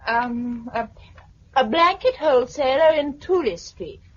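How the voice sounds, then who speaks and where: Plucky young British woman, high voice. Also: expressive, measured pace. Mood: rueful, plucky. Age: 20s. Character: plucky, wry young Englishwoman. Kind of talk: 1950s British radio drama acting. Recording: muffled, hissy 1950s radio recording.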